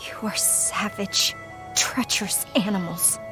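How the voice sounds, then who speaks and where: A woman's voice: medium pitch, slow pace, music in the background, lightly acted. An actress playing a vengeful, hot-headed young woman fighter in a video game, hostile and biting. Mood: bitter, angry. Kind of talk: dramatic video game acting.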